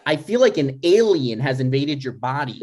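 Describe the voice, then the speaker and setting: A man plays an exasperated grown son in his thirties, defensive and a little scheming. His pitch sits in the middle and swings around a lot as he argues through a comedy script. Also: big pitch swings, moderate pace, darkish tone. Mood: baffled. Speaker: writer and actor. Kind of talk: live comedy table read.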